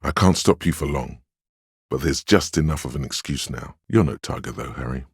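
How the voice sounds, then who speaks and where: A man playing a stern, weary, protective inner voice of a detective in a video game, with measured, grave, dryly sardonic delivery. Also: no room sound, clean studio sound, heavily acted. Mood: earnest, solemn. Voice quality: very deep, smooth, resonant. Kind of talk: scripted game dialogue and narration.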